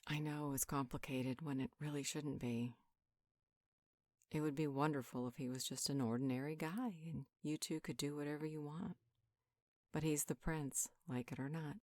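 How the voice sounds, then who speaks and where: Woman playing a low-voiced, wise healer. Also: fairly even intonation, moderate pace, darkish tone, no room sound, close to an everyday voice. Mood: sympathetic, firm. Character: calm, wise female healer counseling an upset friend. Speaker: voice actor in roleplay audio. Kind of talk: conversational roleplay monologue, one side of a dialogue.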